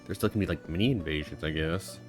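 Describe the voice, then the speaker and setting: Low-voiced woman streamer making wry asides. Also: fast. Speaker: streamer playing a game. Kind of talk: casual gameplay commentary. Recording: game music under the voice.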